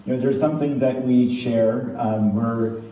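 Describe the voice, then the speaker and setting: A man's voice: medium pitch, fairly even intonation, unhurried. A man from Turkey, a pulmonologist, giving a casual tribute speech full of fillers and restarts. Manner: rambling, many fillers and restarts, laughing warmly. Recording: distant echoey room, faint noise.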